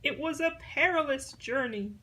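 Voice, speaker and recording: A woman reading a children's picture book aloud in an expressive storytelling manner. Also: sits high, moderate pace, rough recording. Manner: expressive storytelling, acting out short exclamations.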